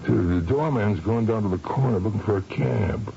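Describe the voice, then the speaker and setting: An actor playing a cool, sardonic blackmailer and killer in a 1970s radio drama, with streetwise, falsely affable menace. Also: male voice, lowish voice, normal speed, vocal fry, dark tone. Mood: wry, scheming. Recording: noisy, dull vintage radio broadcast.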